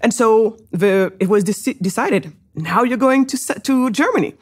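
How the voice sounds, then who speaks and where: A middle-aged woman from Senegal, an entrepreneur, telling her life story in an interview with lively, rising excitement and many fillers. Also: moderate pace, slightly rough. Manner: animated, many fillers, rises high when excited. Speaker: guest entrepreneur.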